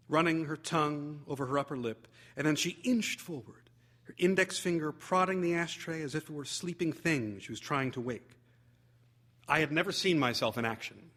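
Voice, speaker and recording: A man reading a humorous memoir aloud as theatrical narration, wry and expressive with deliberate phrasing. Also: mid-range voice. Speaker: actor reading a short story.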